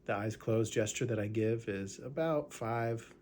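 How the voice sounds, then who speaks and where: Low-voiced man casually guiding meditation. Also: measured pace, slight background noise. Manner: relaxed, conversational, with frequent "right?" and filler words. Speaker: meditation guide.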